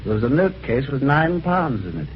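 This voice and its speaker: A man acting a polite, somewhat dim British husband in a vintage radio mystery. His delivery is very animated, with chatty hesitations and old-fashioned manners. The recording is dull, with a faint layer of noise. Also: medium pitch, normal speed, natural voice. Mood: puzzled, reflective. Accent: British English. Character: well-mannered, slightly dim English husband recounting a mystery.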